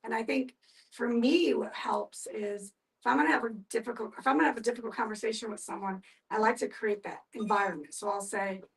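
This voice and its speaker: Higher-pitched woman, slightly distant. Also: a little fast, gravelly. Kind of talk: group discussion, giving advice.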